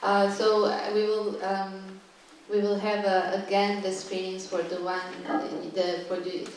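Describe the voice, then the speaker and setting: A woman's voice: mid-range voice, little pitch movement, far from the mic, a little hiss or hum. Woman lecturing in an echoey room. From Serbia. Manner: halting, thoughtful, stops often to find words. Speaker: guest curator giving a talk. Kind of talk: lecture to a live audience. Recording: echoey room, voice picked up from afar.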